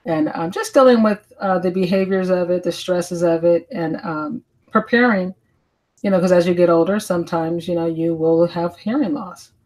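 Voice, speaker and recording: A woman in her fifties chatting casually as a panelist, telling personal stories in a folksy, halting way with repeated phrases. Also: lowish voice, darkish tone, rough recording. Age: 50s. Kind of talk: casual conversation, personal storytelling.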